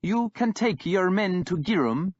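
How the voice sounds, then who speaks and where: A man's voice: high-pitched, expressive, unhurried, dry recording, dull audio, voice pushed up. A man voicing a young male king who speaks in a proud, insistent way. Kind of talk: audiobook character voice.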